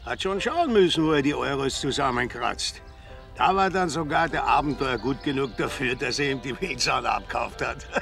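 Gravelly gossipy Austrian villager. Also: male voice, medium pitch, moderate pace, fairly bright tone, faint music behind. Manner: dry, teasing, colloquial. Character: gossipy, wry village pub regular. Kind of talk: naturalistic radio drama acting.

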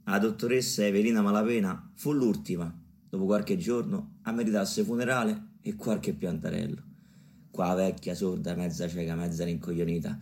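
An actor playing a wry, earthy folk storyteller with a Roman accent, narrating a radio drama in measured colloquial speech. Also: male voice, deep voice, darkish tone.

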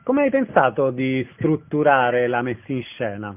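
A man's voice: medium pitch, wildly swooping pitch, a little slow. A man hosting a radio interview, asking brief friendly questions and wrapping up with a promotional reminder. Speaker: radio host. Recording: lo-fi narrow radio sound.

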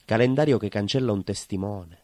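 A man's voice: on the low side, brisk, a little mellow, dry recording, clean studio sound, lightly acted. A man playing an imprisoned baker, bitter, lovelorn and simmering with rage, in a theatrical monologue with a Calabrian southern Italian accent and short, repeated phrases. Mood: anguished, haunted. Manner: short broken phrases, insistent repetition. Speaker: stage actor in a monologue.

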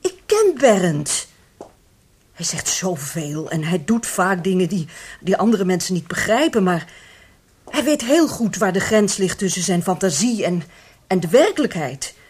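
A woman playing an anxious, insistent middle-aged mother in a radio drama, speaking haltingly and with feeling. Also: fairly low pitch, big pitch swings, a little mellow, breathy edge, full character voice. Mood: anxious, defensive. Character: anxious middle-aged mother defending her troubled son. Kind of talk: Dutch radio drama acting.

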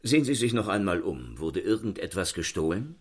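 An actor playing an obsessed, insistent Paris police inspector who questions people in a pointed, suspicious manner in a radio drama. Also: male voice, low voice, sing-song, fairly quick. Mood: probing.

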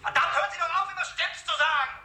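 Scared young suspect, high bright voice jumping all over, pleading, echoey room. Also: male voice, fast, heavily acted. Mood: desperate. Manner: pleading, panicky and tearful. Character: frightened young male suspect under interrogation. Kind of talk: radio drama acting.

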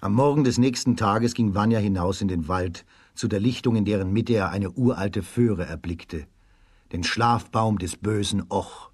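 A man with a husky voice narrating a children's story with dramatic emphasis. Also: on the low side, normal speed, a little mellow, dry recording, clean studio sound. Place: dry studio.

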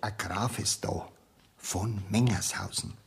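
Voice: sits low, steady tone, takes it slowly, creaky, slight background noise, exaggerated voice. An actor playing an obliging, talkative go-between who ushers in and persuades customers in a radio drama. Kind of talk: German radio drama acting.

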